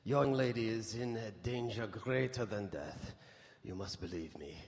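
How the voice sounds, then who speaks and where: An actor playing a grim, authoritative professor, speaking with grave, portentous command in a radio horror drama. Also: male voice, on the low side, measured pace, a little mellow. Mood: foreboding. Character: grim, foreboding male professor, authoritative vampire hunter. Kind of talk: old-time radio horror drama acting. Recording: echoey hall, live stage broadcast.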